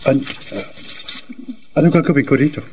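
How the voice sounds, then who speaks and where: Actor as an anxious, stammering husband. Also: male voice, medium pitch, a little mellow, a little hiss or hum, natural voice. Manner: stammering, halting. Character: anxious, bewildered husband of possessed wife. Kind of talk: Filipino horror radio drama acting.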